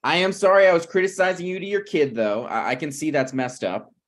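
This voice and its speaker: A man's voice: on the high side, fairly even intonation, a little fast, close to an everyday voice. A man playing an awkward, meddling friend in a sitcom table read, hesitant and apologetic yet blunt. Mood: sheepish. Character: awkward, opinionated, meddling male friend of a married couple. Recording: online video call table read.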